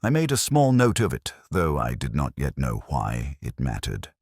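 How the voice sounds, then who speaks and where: Very deep male mystery narrator. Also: huge pitch swings, normal speed, gravelly, a little mellow, slightly rough, no echo, clean studio sound. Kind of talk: scripted first-person detective story narration.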